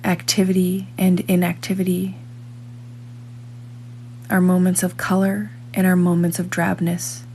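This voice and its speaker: A woman reading a scripted guided meditation in a calm, measured manner, leaving long pauses between phrases. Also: lowish voice, slight background noise.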